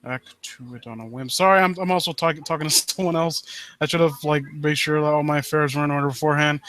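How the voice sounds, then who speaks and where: A man hosting a casual live stream, talking in a halting, rambling way with joking, foul-mouthed asides. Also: on the high side, fairly even intonation, fairly bright tone. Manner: rambling, halting, self-interrupting. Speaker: livestream host.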